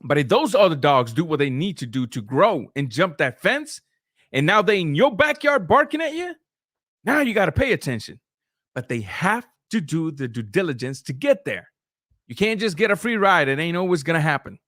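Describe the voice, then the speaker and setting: A man's voice: on the high side, big pitch swings, moderate pace, a bit bright, no echo, clean studio sound. A middle-aged man whose first language is Spanish, hosting a live boxing stream in loose, conversational talk with restarts and filler sounds. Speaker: boxing channel host. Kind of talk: live-stream commentary, reading super chats.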